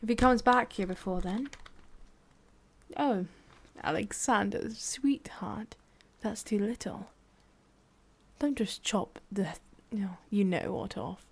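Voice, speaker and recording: A woman acts out a cruel female boss with an English accent in an ASMR roleplay. She speaks slowly in a breathy, husky murmur at a medium pitch. Her manner is condescending and menacing, with long, deliberate pauses. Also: exaggerated voice. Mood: casually menacing.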